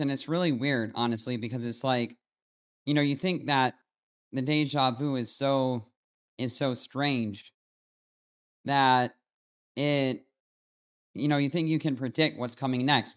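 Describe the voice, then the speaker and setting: A man's voice: mid-range voice, clean tone. An American man hosting a commentary channel, talking casually and directly to his viewers. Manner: informal, addressing viewers directly.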